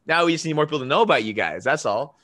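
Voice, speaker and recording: Bright-voiced upbeat male podcast host. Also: on the high side, lively intonation, racing. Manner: upbeat, salesy, lots of "right?" tags. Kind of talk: casual video-call interview. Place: home room with a framed poster. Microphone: desk podcast mic on a video call.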